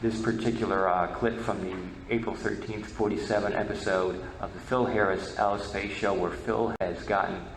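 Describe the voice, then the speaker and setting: A man giving an informal history presentation, halting and often repeating words. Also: fairly low pitch, fairly even intonation. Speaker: presenter and media researcher. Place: reverberant presentation hall. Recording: echoey hall, faint background noise.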